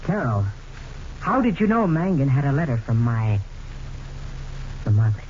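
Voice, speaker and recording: An actor playing a suspicious, bitter widower in a 1940s radio mystery, intense and pressing. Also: male voice, mid-range voice, very animated, normal speed, a little mellow, lightly acted. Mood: suspicious, grim. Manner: intense, dramatic, pressing questions. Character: suspicious, bitter widower interrogating his second wife. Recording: dull, faintly noisy old radio recording.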